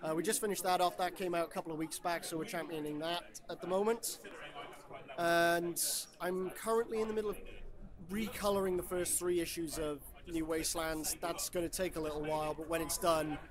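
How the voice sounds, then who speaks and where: A man's voice: on the high side, steady tone, fairly quick. A man from Wales, a comic creator chatting casually about his book at a convention. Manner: loose, rambling, with fillers and dry jokes. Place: convention floor. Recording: busy convention crowd behind.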